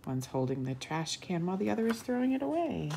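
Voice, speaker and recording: Female story reader for young kids; slightly low register, swooping expressive delivery, light room noise. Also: quick pace, gravelly. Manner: expressive, addresses children directly. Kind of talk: read-aloud storytelling for young children.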